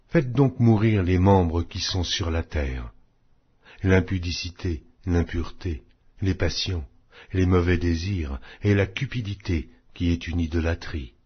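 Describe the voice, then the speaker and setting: A man narrating a Bible audiobook in a solemn, scripted, measured and declamatory way. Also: sits low, slightly rough. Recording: dull, muffled lo-fi audio.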